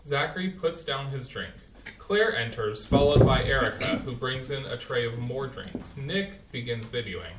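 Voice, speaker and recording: A man's voice: medium pitch, lively intonation, normal speed. A man reading the stage directions aloud in a staged play reading. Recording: distant, roomy, faint hiss.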